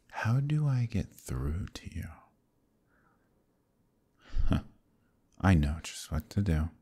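A man voicing an arrogant, scheming Demon King who taunts and menaces in an ASMR roleplay, often dropping to a husky whisper. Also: deep voice, warm tone, exaggerated voice. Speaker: voice actor.

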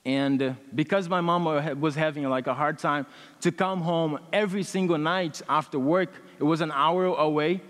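A young man in his twenties with a Brazilian accent, a native Portuguese speaker, giving a personal testimony to a congregation in an earnest, halting way with many fillers, on a headset mic in a large church hall. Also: on the high side, fairly bright tone. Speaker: student speaker.

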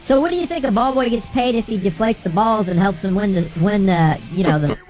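A comedy show co-host in casual banter, with the voice electronically pitch-shifted up. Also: a little fast, darkish tone, faint music behind. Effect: pitch-shifted voice, raised.

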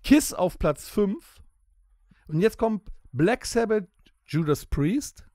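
A man with a high-pitched voice talks casually as a podcast host. His delivery swings up and down as he announces rankings and teases what comes next. The sound is dry and close. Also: sing-song, slow pace, a little mellow, dry recording.

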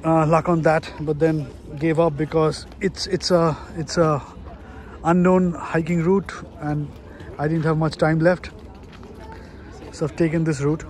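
A man's voice: fairly high pitch, flat delivery, a little slow, a little mellow, slight background noise. A middle-aged man from India, a solo travel vlogger talking chattily and with enthusiasm while outdoors on a hilltop fortress, filming on a handheld camera, with self-deprecating asides. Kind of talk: solo travel vlog narration.

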